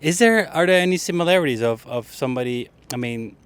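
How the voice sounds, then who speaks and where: A man's voice: fairly high pitch, expressive, fast, clear, resonant tone. Hesitant man hosting an outdoor chat. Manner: hesitant, many restarts and fillers, jokey. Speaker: podcast host. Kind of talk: casual interview. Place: public bench by a harbour outdoors.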